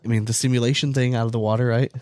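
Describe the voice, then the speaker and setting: A man with a North American accent chats casually as a podcast co-host. His voice sits at a middle pitch in a very dry recording. He tells stories in an animated, profane way, leaning on "like" as he goes. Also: rapid-fire, dry recording. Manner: profane, animated storytelling with frequent "like".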